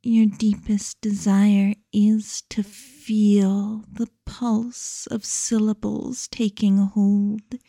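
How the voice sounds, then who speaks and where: A woman hypnotist speaking slowly in a hushed, commanding way, often dropping into a whisper, close to the microphone. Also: medium pitch, dry recording.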